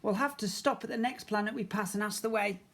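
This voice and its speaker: A man plays an adventurous spaceman for a children's storybook. The voice lifts higher and exclaims decisively, eager to move on to somewhere better. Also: fast talker, fairly bright tone. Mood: lost, exasperated.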